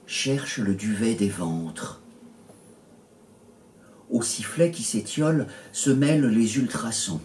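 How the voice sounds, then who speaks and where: Slow, low-voiced man reading poetry, roomy echo. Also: a little mellow. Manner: measured, pausing between short phrases. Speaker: poet reading own poems. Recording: roomy echo, distant mic, faint noise.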